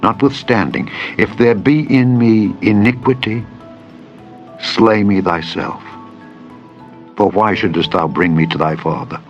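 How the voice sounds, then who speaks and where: A man's voice: on the low side, a little slow, lightly acted. A man voicing an anxious, pleading young fugitive in a dramatized scripture reading, speaking earnestly in solemn archaic phrasing. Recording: dull lo-fi audio with music. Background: background music.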